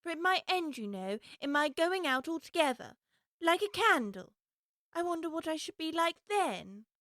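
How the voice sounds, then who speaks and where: A woman's voice: very high voice, very animated, moderate pace, a bit bright, no room sound, studio quality, full character voice. A woman playing a curious, prim young girl in an audiobook, talking earnestly and expressively to herself. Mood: wondering. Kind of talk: full-cast audiobook acting.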